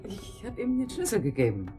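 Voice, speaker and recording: An actress playing an emphatic, bewildered holiday-house caretaker in a naturalistic radio drama. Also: female voice, fairly high pitch, huge pitch swings, fairly quick, over music. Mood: insistent. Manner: emphatic, puzzled.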